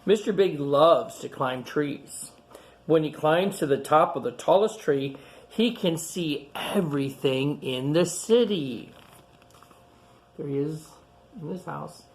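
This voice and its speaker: Guy reading a kids picture book out loud, real slow, keeps pointing stuff out in simple words. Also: a little high, lively intonation. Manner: pauses to point out and comment on pictures; simple, repetitive phrasing aimed at young children. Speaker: storytime reader and host.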